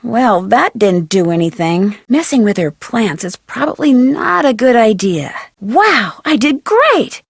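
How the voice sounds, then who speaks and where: Plucky young american girl detective, thinks out loud, really expressive swings, dry close sound, adventure game. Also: fairly high pitch, unhurried, vocal fry, few pauses, slightly rough, no echo, full character voice. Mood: playful, upbeat. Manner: expressive, musing aloud to herself. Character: curious, plucky young female amateur detective. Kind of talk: scripted adventure game voice acting, thinking aloud.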